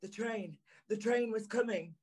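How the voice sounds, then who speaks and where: A man acts out a troubled gentleman narrating an audio drama. He is earnest and haunted, and he takes his words slowly, with deliberate dramatic weight. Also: sits high, very noisy. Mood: panicked. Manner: deliberate, dramatic, halting repetitions.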